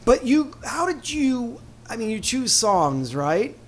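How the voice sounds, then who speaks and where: A man's voice: extremely high pitch, sing-song, slight background noise. A man from the New York area interviewing musicians in a playful, chatty way. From New York area, USA. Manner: playful, chatty, trails into quick asides. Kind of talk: casual interview chat.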